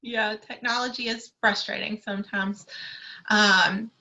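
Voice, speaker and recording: Bright-voiced woman moderating a video call. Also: mid-range voice, fairly even intonation, unhurried, highs cut off. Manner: warm encouraging moderator, many fillers. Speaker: career coach and moderator.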